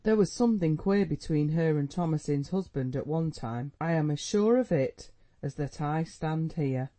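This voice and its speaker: A man's voice: on the high side, normal speed, warm tone, natural voice. A man reading a novel aloud, voicing a proud, anxious middle-aged mother who scolds her son and pleads with him. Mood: scolding. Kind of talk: audiobook dialogue reading.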